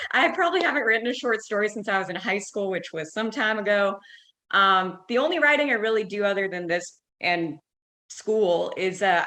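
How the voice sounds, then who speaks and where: A woman's voice: medium pitch, pushed and bright. A woman chatting casually as a podcast guest. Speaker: podcast guest, novice writer. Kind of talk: casual chat.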